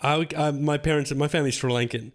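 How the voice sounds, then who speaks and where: A man's voice: on the high side, fairly even intonation, quick pace. A man hosting a casual podcast chat, chatty and storytelling, often restarting his sentences. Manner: chatty, restarts a lot, laughs at own stories. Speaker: podcast host and acting teacher.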